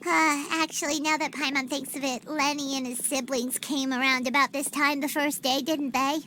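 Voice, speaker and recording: A woman's voice: high-pitched, level tone, moderate pace, pushed and bright, a little hiss or hum. A woman voicing a tiny, childlike, chirpy and fretful fairy companion in a video game. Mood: pondering. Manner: refers to self in third person, chatty. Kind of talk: cartoonish video game acting.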